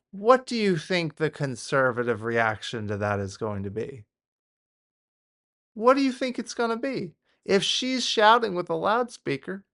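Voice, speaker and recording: An American man giving off-the-cuff political commentary in a halting, repetitive, exasperated way. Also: a little high, a bit bright.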